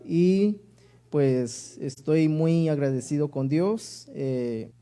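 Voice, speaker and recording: Male preacher, southern Mexican accent. High, mellow voice, deliberate delivery of sermon and scripture. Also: lively intonation, clear, resonant tone. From Oaxaca, Mexico. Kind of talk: sermon with Bible reading.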